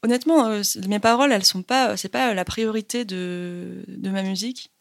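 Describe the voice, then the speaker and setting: A woman's voice: mid-range voice, moderate pace, gravelly, no room sound, studio quality. A woman singer-songwriter answering interview questions in a hesitant, chatty way, with many fillers and frequent laughs. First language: Spanish.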